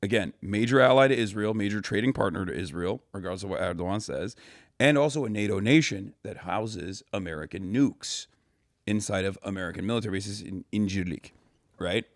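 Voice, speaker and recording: Low-voiced opinionated man, dry sound. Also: no room sound. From Turkey. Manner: rapid, profane, opinionated, laughs often. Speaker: guest. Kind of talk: casual conversation.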